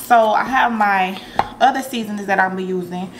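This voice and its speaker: A woman hosting a relaxed cooking tutorial in her home kitchen, chatting to her viewers with plenty of ums as she works. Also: mid-range voice, fairly quick, background noise. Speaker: cooking vlogger.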